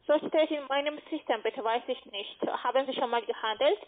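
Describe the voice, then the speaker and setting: A woman making a cold sales call over a phone line, speaking halting, non-native German phrasing. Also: high-pitched. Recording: tinny narrowband phone line.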